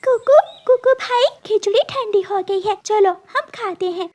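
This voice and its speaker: A woman's voice: very high-pitched, unhurried, darkish tone. A woman voicing a bossy young girl sparrow in a children's cartoon, childlike and insistent. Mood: bossy, excited. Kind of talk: Hindi children's cartoon dialogue.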